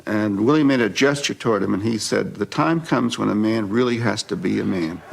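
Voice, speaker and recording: A man's voice: fairly low pitch, brisk, gravelly, heavy background noise. An older man reminiscing reflectively about sport, as in an interview.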